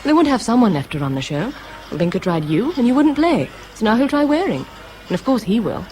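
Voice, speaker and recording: An actress playing a sharp, exasperated young woman in a radio drama, with a British accent and an emphatic, scolding delivery. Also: on the high side, sing-song, fast talker, faint music behind. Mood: impatient.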